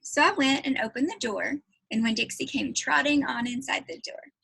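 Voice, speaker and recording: Woman, storybook read-aloud, teacher, high-pitched, gravelly creak, narration. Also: normal speed, bright, forceful tone, no echo, rough recording. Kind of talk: children's book read-aloud.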